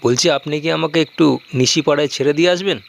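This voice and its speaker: A man's voice: on the high side, quick pace, creaky. A man playing a stubborn, bold young village man in a horror cartoon, speaking colloquially. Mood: calling out. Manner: defiant, colloquial.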